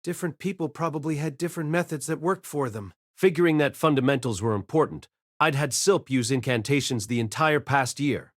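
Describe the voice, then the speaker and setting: A man's voice: medium pitch, brisk, no room sound, studio quality, lightly acted. A man playing a wry, self-deprecating reincarnated boy mage, narrating his own life in a conversational audiobook style. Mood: wry narration. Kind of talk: first-person audiobook narration with acted dialogue.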